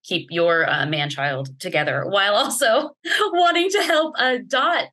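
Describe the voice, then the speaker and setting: A woman's voice: medium pitch, a little slow, sharp tone. A woman interviewer on a video call, asking chatty, friendly questions. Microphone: video call with earphones.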